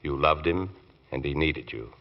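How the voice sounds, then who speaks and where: An actor playing a suave, self-assured barrister with a dry, understated manner, in 1940s radio drama style. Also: male voice, rumbling low, a little slow. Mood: assured, courteous. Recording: muffled old radio recording.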